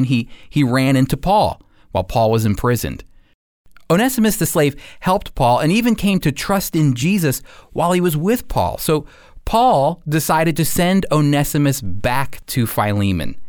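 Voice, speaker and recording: A man's voice: mid-range voice, no echo, clean studio sound. An American man presenting scripted radio commentary and Bible teaching as a co-host. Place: dry studio.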